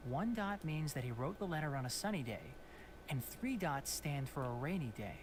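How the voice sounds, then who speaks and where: A man's voice: a little high, expressive, normal speed, noisy background, natural voice. An actor playing an earnest, worried young male scholar in a game, speaking politely and measuredly. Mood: concerned.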